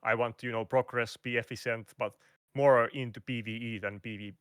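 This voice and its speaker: A man with a Finnish accent talks through a casual interview, searching for words and restarting often. His voice comes across bright and forceful. The sound is close and dry, with no room around it. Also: mid-range voice, fairly even intonation, brisk, clear, resonant tone, no echo. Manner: hesitant, restarts and fillers, non-native phrasing. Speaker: guest content creator. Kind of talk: casual interview over a call.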